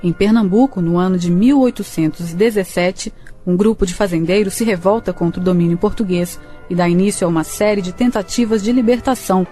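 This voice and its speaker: A Brazilian woman narrates a historical radio serial from a script. Her voice is medium in pitch and mellow, with soft music behind it. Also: brisk.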